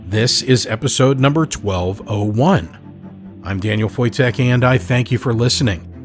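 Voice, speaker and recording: A man hosting a horror podcast, reading a scripted introduction with measured, theatrical phrasing. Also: medium pitch. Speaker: podcast host. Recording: music behind the voice.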